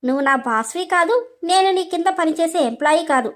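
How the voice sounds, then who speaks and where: Feisty young office worker, played by a woman, very high voice, scolding, confrontational, quick to insult. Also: expressive. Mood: angry, accusing. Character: feisty, hot-tempered young female employee who berates her boss. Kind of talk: dramatized story dialogue.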